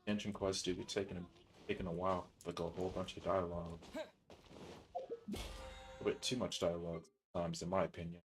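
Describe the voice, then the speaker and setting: Low-voiced man chatting on a game stream. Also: level tone, fairly quick, long pauses, poor audio. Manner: rambling, thinking aloud, informal slang. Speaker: game livestreamer. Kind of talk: casual livestream chat while playing. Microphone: streaming mic with noise suppression. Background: game music.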